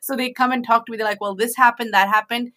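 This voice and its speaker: A woman's voice: a little high, fairly even intonation, quick pace, bright, forceful tone. A woman hosting a two-person discussion, giving informal, emphatic advice in a conversational way.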